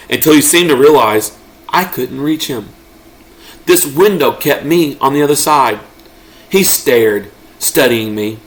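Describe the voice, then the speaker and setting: A man reading a children's story aloud expressively, as a parent does to a child, pausing to ask questions. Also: mid-range voice, a bit bright. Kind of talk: expressive children's read-aloud, narrating as a pet dog.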